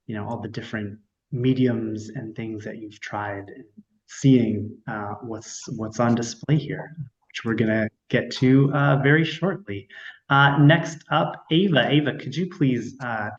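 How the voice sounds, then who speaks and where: A man's voice: mid-range voice, steady tone, moderate pace. A man hosting an artist talk in an informal, polite and slightly hesitant way on an online call. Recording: echoey, dull online call audio.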